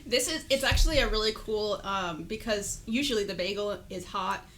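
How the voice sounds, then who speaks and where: A young woman chatting casually as a podcast guest, animated and quick to laugh. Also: medium pitch, slight background noise.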